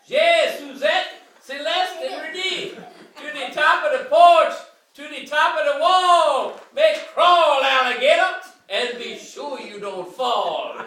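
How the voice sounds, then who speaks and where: A man's voice: very high-pitched, sharp tone, recorded from a distance, pitched above the performer's own voice, heavily acted. An older man voicing a hollering, exclaiming old Cajun Saint Nicholas from a storybook. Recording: roomy home recording from across the room.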